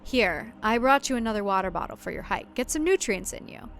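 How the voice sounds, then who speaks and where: A woman's voice: a little high, expressive, brisk, a bit bright, slight background noise. A woman voicing a smug, overly familiar man on a farm who talks in a boastful, folksy and pushy way.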